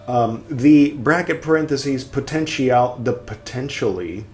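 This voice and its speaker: A man hosting a podcast, reading a text aloud and speaking its punctuation, with quiet wry asides between passages. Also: medium pitch, faint music behind. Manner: reads slowly, speaking the punctuation aloud and trailing into whispered asides.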